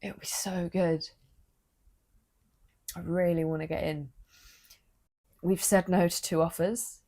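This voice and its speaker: A young woman, vlogging earnestly into her phone with a low-energy voice, confiding and emotional. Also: steady tone, takes it slowly, creaky, dark tone. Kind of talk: personal vlog talking to camera.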